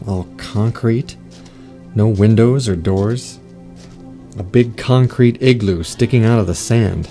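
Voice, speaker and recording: An actor playing a henpecked, exasperated husband in an audio drama, grumbling in short bursts. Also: male voice, on the low side, slow, mellow, soft background music. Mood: grumbling, puzzled.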